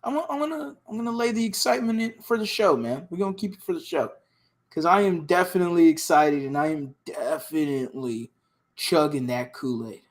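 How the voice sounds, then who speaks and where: Raspy, hoarse excited man. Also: a little high, fairly quick. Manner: excited, rambling, restarts a lot. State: hoarse from cheering. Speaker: podcast co-host. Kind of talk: casual sports podcast chat.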